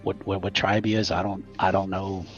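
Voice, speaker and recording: A man's voice: sits low, level tone, a little fast, lightly acted. A man voicing a nervous young man who stammers and hedges through every sentence. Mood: flustered. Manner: stammering, hesitant, filler-heavy. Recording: slightly muffled, faint music.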